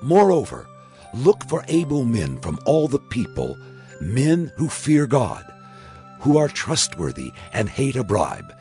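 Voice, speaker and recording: A man playing a wise elder father-in-law giving counsel in a dramatized scripture reading, measured, earnest and instructive. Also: medium pitch, a little mellow.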